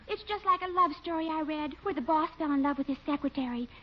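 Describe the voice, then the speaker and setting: A woman playing a very high, naive secretary. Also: quick pace, lightly acted. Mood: earnest. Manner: earnest, simple, sweetly matter-of-fact. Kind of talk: 1940s radio comedy acting. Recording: dull old radio broadcast.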